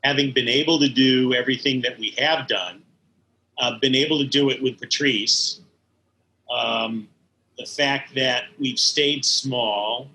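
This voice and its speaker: Interview guest: mature man, bright forceful tone, thoughtful and halting, faint background noise. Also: medium pitch, steady tone, poor audio. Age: middle-aged or older. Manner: halting, thoughtful, searching for words. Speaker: interview guest, animation studio head. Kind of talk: conversational interview, reminiscing.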